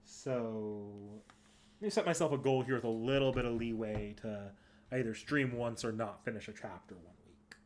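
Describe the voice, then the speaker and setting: A man's voice: medium pitch, normal speed, a little hiss or hum. A man streaming games, chatting casually and unscripted, hesitant and often correcting himself as he thinks aloud. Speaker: live game streamer.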